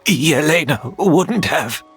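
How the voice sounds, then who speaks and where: Slow, brooding master perfumer. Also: male voice, on the high side, lively intonation, a bit bright, husky, faint music behind, exaggerated voice. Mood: disbelieving. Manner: deliberate, theatrical, pointed. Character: brooding vengeful male master perfumer, obsessive and condescending. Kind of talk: scripted game voice acting.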